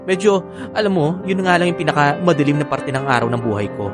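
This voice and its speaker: Sassy, animated Filipino co-parent played by an actress. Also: female voice, sits low, quick pace, over music. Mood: matter-of-fact, caring. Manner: sassy, scolding, dismissive. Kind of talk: Filipino audio drama acting.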